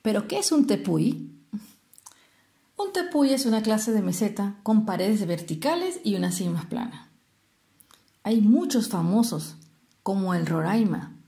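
A woman from Venezuela with a Venezuelan Spanish accent, telling a traditional tale expressively and explaining things as she goes, with deliberate pauses. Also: mid-range voice, warm tone. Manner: didactic, explains terms with rhetorical questions and pauses. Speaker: storyteller.

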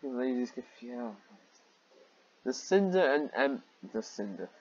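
A man's voice: medium pitch, animated, measured pace, darkish tone, husky, highs cut off. A man reading amateur fiction aloud with sarcastic commentary and exasperated, incredulous asides.

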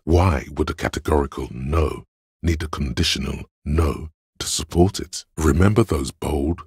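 An actor playing the cool, dryly reasoning inner voice of a detective's logic in a video game, measured and deliberate. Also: male voice, dry recording, studio quality, exaggerated voice. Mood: dry, skeptical. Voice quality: very deep, gravelly, husky. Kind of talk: scripted video game voice-over, inner-voice narration.

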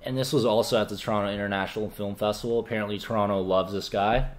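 A man's voice: fairly low pitch, fairly even intonation, quick pace, over music. Young man chatting through a movie review. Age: young adult. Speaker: film review co-host. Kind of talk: casual movie review chat. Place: small indoor room with shelves of discs.

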